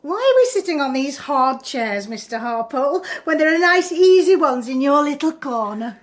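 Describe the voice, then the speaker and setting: High-voiced, gushing amorous older councillor. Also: female voice, big pitch swings, normal speed. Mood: complaining, confiding. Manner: gushing, suggestive, insistent. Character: amorous, brazen middle-aged married councillor, needy and pushy. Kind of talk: radio drama acting.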